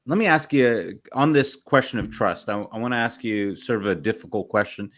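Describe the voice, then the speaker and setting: Host: man with a lowish voice, halting and tentative as he asks questions. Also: a bit bright. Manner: hesitant, many fillers and restarts. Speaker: podcast host, interviewer. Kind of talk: casual interview conversation.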